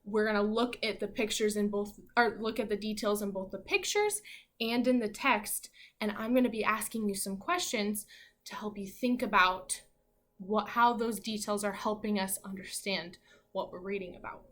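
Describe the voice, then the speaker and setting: A woman teacher leading an interactive read-aloud for young children, asking questions and reading with animated emphasis. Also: medium pitch. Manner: asks questions and pauses as if hearing answers. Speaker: elementary school teacher.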